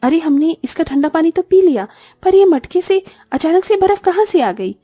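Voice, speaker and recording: A woman's voice: very high voice, expressive, normal speed, warm tone, dry recording, studio quality, faint music behind. A woman voicing an eager, childlike orphan girl who exclaims often, in a cartoon story. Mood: amazed, eager. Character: excitable young orphan sisters in a cartoon. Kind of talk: Hindi cartoon story dialogue.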